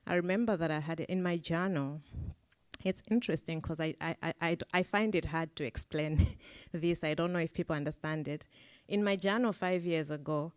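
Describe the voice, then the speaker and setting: A woman's voice: sits low, brisk, no echo. A woman on a discussion panel, speaking thoughtfully with frequent hesitations.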